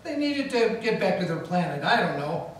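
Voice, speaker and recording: A man's voice: high voice, normal speed, slight background noise. An actor playing a wisecracking male detective who also narrates, in a live 1940s-style radio play in a mansion room, caught by a distant camera. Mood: boastful. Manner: brash, wisecracking, animated. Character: tough-talking two-fisted male detective, 1940s. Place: large historic mansion room with a live audience. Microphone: distant camera microphone. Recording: echoey room from far off, music behind.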